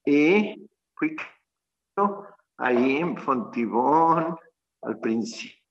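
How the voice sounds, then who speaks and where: A man, a priest telling his life story in a radio interview, speaking haltingly and reflectively over a dull remote line. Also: a little high, lively intonation, slight background noise. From Colombia.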